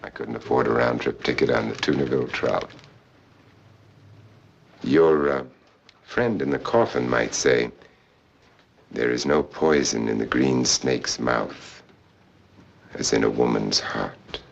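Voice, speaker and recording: An actor playing a wry, world-weary adventurer for hire who drinks hard, speaking in a theatrical, sardonic and lyrical way in an old film. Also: male voice, a bass voice, a little slow, gravelly, long pauses, exaggerated voice. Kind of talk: old film acting with poetic first-person narration. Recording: muffled old film soundtrack, faint music.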